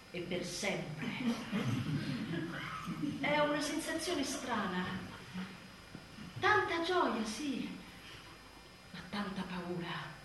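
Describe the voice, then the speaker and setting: Echoey, animated overwhelmed new mother. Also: a little high, full character voice. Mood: anxious, vulnerable. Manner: expressive, emphatic, with abrupt swings in volume. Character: overwhelmed, inadequate new mother, wry and exasperated. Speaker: stage actress performing a monologue. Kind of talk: theatrical comic-dramatic monologue. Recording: echoey room, distant mic, faint noise.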